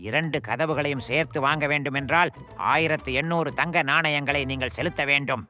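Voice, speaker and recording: A man playing a shady crook in an animated cartoon, suspicious and businesslike as he haggles. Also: fairly high pitch, fairly quick, soft background music. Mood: salesmanlike. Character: shady male crook haggling over temple land. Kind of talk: animated cartoon dub acting.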